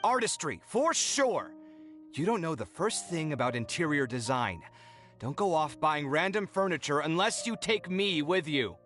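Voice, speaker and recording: A man's voice: fairly high pitch, big pitch swings, normal speed, a bit bright, faint music behind. An actor playing a proud, easily exasperated young male architect who talks in an indignant, theatrical way. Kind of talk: video game dialogue.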